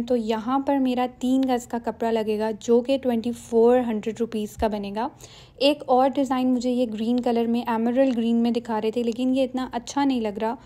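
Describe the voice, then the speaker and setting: A woman's voice: fairly high pitch, warm tone. A young woman from Pakistan narrating her shopping vlog in a chatty way, walking through the prices step by step. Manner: chatty, explains prices step by step, mixes in English phrases. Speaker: vlogger.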